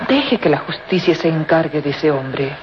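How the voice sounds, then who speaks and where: An actress playing a compassionate, principled young woman, a professor's daughter, speaking gently and formally in a radio serial. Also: deep voice, animated, normal speed. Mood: pleading. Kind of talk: melodramatic radio serial acting. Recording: muffled noisy old radio recording.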